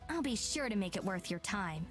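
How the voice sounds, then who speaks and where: An actress playing a polite, soft-spoken, grateful woman in a visual novel. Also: medium pitch, animated, moderate pace, lightly acted. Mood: warm, polite. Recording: music behind game dialogue.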